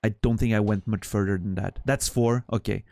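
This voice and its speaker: A man's voice: fairly low pitch, steady tone, brisk, creaky, darkish tone, no echo. A man from Switzerland with a Swiss French accent, a native French speaker, chatting casually with his stream viewers in a rambling, profane way full of restarts.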